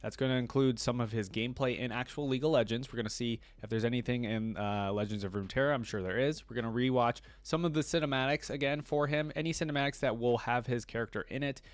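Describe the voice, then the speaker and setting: A man's voice: medium pitch, fairly even intonation, fast talker, hardly any pauses, no echo. A man hosting a reaction video, reading story text aloud and adding casual commentary.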